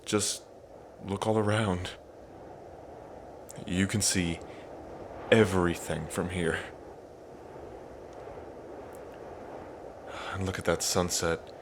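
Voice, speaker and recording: A man's voice: low voice, steady tone, slow pace, pauses often, noisy background. A man playing a cocky yet tender young dragon king in his twenties, speaking casually and hesitantly to the listener in an intimate ASMR roleplay. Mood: soft, wistful. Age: 20s. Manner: casual, hesitant, trailing phrasing.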